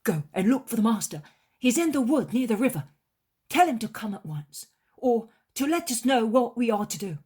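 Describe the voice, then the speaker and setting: A woman's voice: fairly high pitch, very animated, moderate pace, darkish tone, breathy edge, pitched above the performer's own voice. A woman voicing a protective, exasperated male disciple in a storybook reading, urgent and commanding. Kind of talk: dramatized devotional storybook reading.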